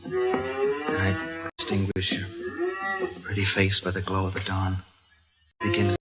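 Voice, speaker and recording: An actor playing a brave, earnest young colonial volunteer who speaks formally in an old-time radio drama. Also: male voice, on the low side, expressive, slow pace, loud music behind the voice. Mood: lovelorn. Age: young adult.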